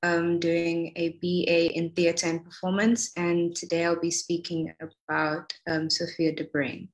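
Woman, 19, student, hesitant, pauses often, slightly low voice, echoey room. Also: level tone. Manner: hesitant, many ums. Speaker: student panelist. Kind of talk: panel discussion on a video call. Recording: echoey room on a video call.

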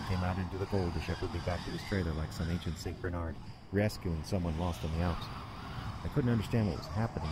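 Guy playing uneasy snowed-in workman narrating radio horror, really deep, brooding, loud storm noise. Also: close to an everyday voice. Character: uneasy snowbound working man recounting a horror tale. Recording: noisy storm ambience under the voice.

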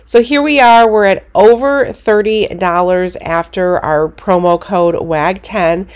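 A congested woman hosting a coupon channel, narrating a screen walkthrough of shopping deals in a brisk, practical way. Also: mid-range voice, smooth. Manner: explains steps in a brisk, practical way.

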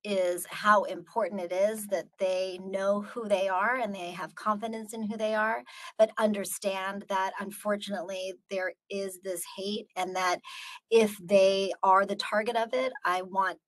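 A middle-aged American woman speaking in a measured, reflective way as a guest speaker answering questions on a video call. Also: mid-range voice, level tone, a bit bright, poor audio. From United States. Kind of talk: earnest talk answering audience questions.

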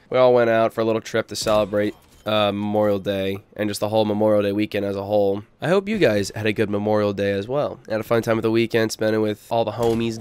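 A young man getting over a cold, slightly congested, speaking in a quiet, earnest and hesitant way in a personal vlog voice-over. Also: lowish voice, clean tone. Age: young adult. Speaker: gaming YouTuber.

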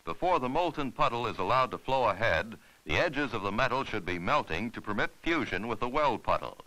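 Male narrator, scripted instructional film style, forceful bright tone, animated intonation, formal step-by-step manner. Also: mid-range voice, brisk, low-quality recording.

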